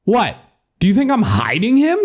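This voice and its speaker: A man plays a brash, sarcastic audiobook hero. The voice is raised high and swings widely in pitch. The delivery is blunt, mocking and insistent. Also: sing-song, a little slow, darkish tone. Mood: annoyed, mocking. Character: brash, foul-mouthed, sarcastic narrator hero of a post-apocalyptic tale. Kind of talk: audiobook character dialogue.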